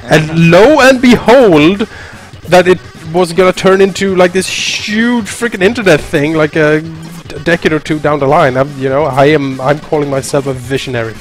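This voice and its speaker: A man's voice: on the high side, normal speed, few pauses. A man with a Swedish accent, English not his first language, chatting casually and rambling with a self-mocking tone while co-hosting a game let's play. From Sweden. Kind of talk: casual gaming commentary. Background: video game music.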